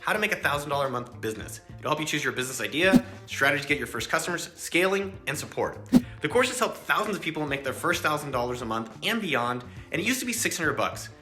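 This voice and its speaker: A man's voice: a little high, very animated, quick pace, music in the background. A man narrating his video channel in a scripted, upbeat promotional style.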